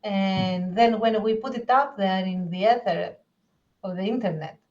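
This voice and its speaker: Woman, medium pitch, casual podcast co-host, animated, dull lo-fi recording. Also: measured pace. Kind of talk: casual chat.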